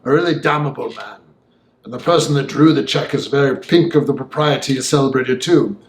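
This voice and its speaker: A man voicing an opinionated young gentleman in an audiobook, talking in a formal, emphatic, discursive way. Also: mid-range voice, fairly quick, reverberant, a little hiss or hum, lightly acted, poor audio. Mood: disapproving, confiding. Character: opinionated, talkative young Victorian gentleman, Mister Enfield. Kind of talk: audiobook character voice.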